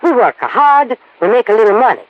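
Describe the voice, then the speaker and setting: An animated, suspicious Italian farm wife in a vintage radio drama. She talks bluntly in accented, broken English, with lots of emphasis and big swings of pitch. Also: fairly quick, phone-quality sound. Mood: blunt, grumbling. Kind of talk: 1950s American radio drama acting. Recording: old radio broadcast recording.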